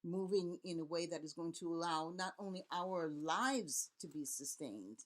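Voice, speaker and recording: Middle-aged woman from haiti, a priest and elder, low-ish voice, earnest rallying talk, piles up lists. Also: moderate pace. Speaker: Vodou priest and community elder. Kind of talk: impassioned spoken address to camera.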